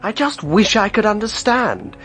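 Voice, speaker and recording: A man's voice: high voice, sing-song, measured pace, fairly bright tone, soft background music, exaggerated voice. An actor playing an anxious, loyal man in a radio murder mystery, earnest and questioning. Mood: troubled. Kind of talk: radio drama acting.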